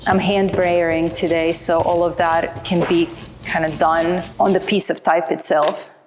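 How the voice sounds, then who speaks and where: A woman's voice: lowish voice, flat delivery, measured pace, background noise. A woman from Croatia, an artist speaking thoughtfully and earnestly about her printmaking in a documentary interview. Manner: thoughtful, earnest, explains with care.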